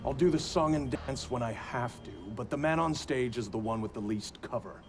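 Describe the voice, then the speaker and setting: Guarded male conspirator, mid-pitched, acted by a man. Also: normal speed, over music, close to an everyday voice. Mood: cool, wary. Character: guarded, calculating male agency director, conspirator. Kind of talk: video game cutscene acting.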